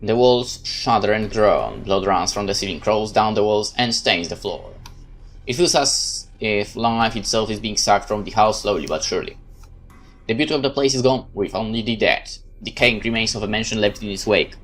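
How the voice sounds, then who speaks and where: A man's voice: lowish voice, fairly bright tone. A man giving a theatrical first-person reading of a horror visual novel. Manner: measured, theatrical narration. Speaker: game let's-play narrator.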